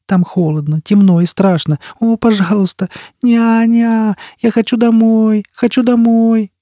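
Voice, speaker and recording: A scared little boy voiced by a male narrator in an audiobook. The pitch is lifted well up, and the words come out plaintive and whining, like a homesick child begging. The sound is close and dry. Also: fairly even intonation, a little slow, dark tone, dry recording. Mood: tearful pleading. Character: frightened sobbing little boy telepath, homesick, pleading. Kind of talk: audiobook character acting.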